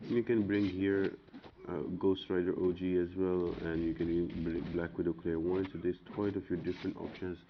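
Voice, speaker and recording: A man's voice: low voice, flat delivery, moderate pace. A man giving casual, matter-of-fact video game walkthrough commentary in an instructional way. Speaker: video game guide host. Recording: muffled audio with clear background noise.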